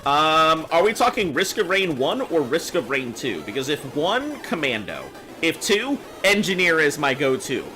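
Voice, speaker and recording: A man's voice: fairly high pitch, big pitch swings, a little slow, few pauses, pushed and bright, a little hiss or hum. A man in his thirties who sounds much younger, chatting casually on a game stream and rambling with fillers. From United States. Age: 30s.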